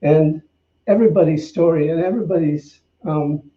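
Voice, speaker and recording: Guy minister chatting about spiritual stuff, kinda reflective, higher voice, really echoey slightly muffled sound. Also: moderate pace. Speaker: minister, co-host. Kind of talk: informal spiritual talk. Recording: slightly dull sound, roomy echo.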